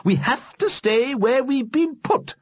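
Guy doing a proper bossy old ghost lady for a storybook, high swoopy voice. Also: expressive, slow pace, slightly rough, dry recording, exaggerated voice. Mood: stern, insistent. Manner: proper, easily shocked, bossy. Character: prim, scolding dead matron, female ghost.

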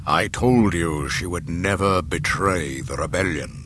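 A cold, confident male dark lord from an audiobook space saga, voiced by a man. His deliberate voice stays low and menacing, with a little noise behind it. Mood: cold certainty. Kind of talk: audiobook character acting.

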